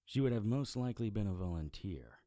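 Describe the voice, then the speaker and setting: A man's voice: fairly low pitch, a little fast, dry recording, natural voice. An actor playing a dry, wry male occult investigator who narrates his case in an audio drama. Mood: probing. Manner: deadpan, wry. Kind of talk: first-person detective audio drama narration.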